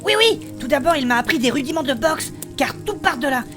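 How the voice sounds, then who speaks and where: A woman playing a spirited female blacksmith adventurer, recounting her past in animated, colloquial in a fantasy audio drama. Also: high voice, brisk, faint music behind. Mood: lively storytelling. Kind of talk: French fantasy audio fiction acting.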